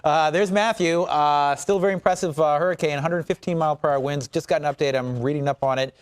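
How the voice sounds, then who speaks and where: A man's voice: on the high side, a little fast, clean tone, dry recording. A man with an American accent giving a live morning weather report in an informal, joking, chatty way. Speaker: TV weather forecaster.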